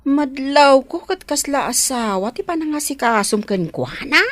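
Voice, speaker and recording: A woman with an Ilocano accent playing a nosy, kindly household confidante in a radio drama, prying and expressive. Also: high voice, moderate pace. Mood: teasing, prying.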